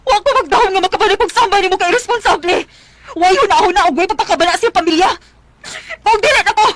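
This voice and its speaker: A woman's voice: extremely high pitch, quick pace, fairly bright tone. A woman playing a poor, overburdened mother fed up with her irresponsible husband, acting in a Filipino radio drama with a Cebuano accent. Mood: angry, tearful.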